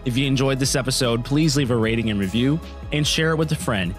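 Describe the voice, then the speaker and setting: A man's voice: medium pitch, quick pace. A man reading scripted credits as an announcer. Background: music.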